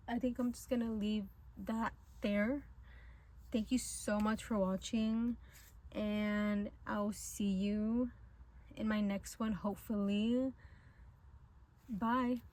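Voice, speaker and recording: Gentle, higher-voiced tarot reader woman. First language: not English. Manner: gentle, reassuring, hesitant with many fillers. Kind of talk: casual pick-a-pile tarot reading.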